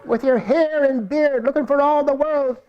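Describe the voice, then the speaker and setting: A man voicing a frail, ancient old mother with a high, quavering voice in a told folk tale. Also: moderate pace, lo-fi sound, a little hiss or hum.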